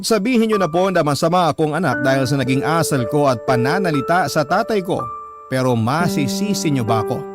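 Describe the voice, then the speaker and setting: Filipino male storyteller over piano music. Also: a little high. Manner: earnest, emotive storytelling. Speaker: radio host and story narrator. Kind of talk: dramatic first-person letter reading. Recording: music bed behind the voice.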